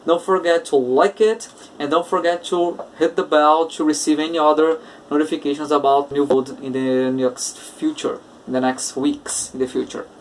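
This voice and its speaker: A man with a Brazilian Portuguese accent, an English teacher hosting his own casual podcast, talking slowly and searching for words with many filler uhs. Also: on the high side, flat delivery, recorded from a distance, a little hiss or hum, poor audio. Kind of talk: casual solo podcast talk.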